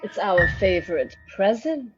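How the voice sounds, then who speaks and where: A woman playing a scornful, gloating vampire sister in a radio play, breathy and sneering. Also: mid-range voice, big pitch swings, muffled recording, music in the background. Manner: drawn-out, sneering.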